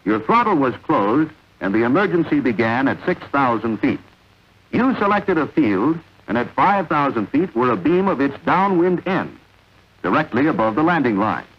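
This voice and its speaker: A man narrating a vintage military training film in a measured, authoritative, instructional way. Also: mid-range voice, slightly rough. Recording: dull, lo-fi vintage film soundtrack.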